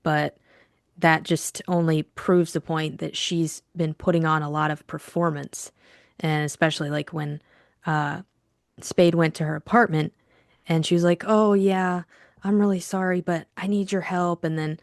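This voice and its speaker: A woman's voice: sits low, gravelly, no echo, studio quality. A woman co-hosting a casual book discussion with informal, youthful phrasing.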